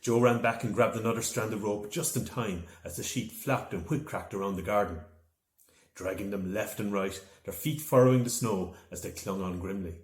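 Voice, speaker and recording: A man reading a children's storybook aloud in an expressive, dramatic storytelling manner. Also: on the low side. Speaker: author reading from own novel. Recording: echoey room.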